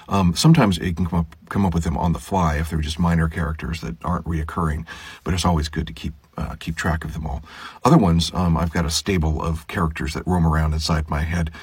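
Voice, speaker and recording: Male audiobook narrator, casual explainer, deep gravelly voice, dry close sound. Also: no room sound. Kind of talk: casual explanatory chat to viewers.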